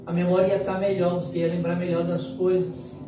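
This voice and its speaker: A Brazilian man in his late fifties preaching a sermon as a pastor, using dramatic pauses and repetition for emphasis. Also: high-pitched, little pitch movement. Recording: very echoey hall, distant mic, music behind. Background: music.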